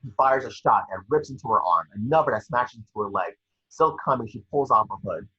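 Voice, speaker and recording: A man's voice: medium pitch, expressive, brisk, sharp tone, husky, dull audio. A man narrating screenplay action in an amateur table read, occasionally stumbling over the script. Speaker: scene-description reader.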